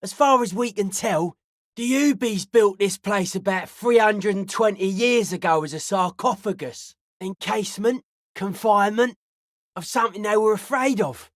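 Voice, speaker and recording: A woman's voice: mid-range voice, moderate pace, no room sound, clean studio sound, lightly acted. A woman voicing an earnest young male raver and amateur psychic in a video game, slangy, cryptic and matter-of-fact. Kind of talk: video game character dialogue.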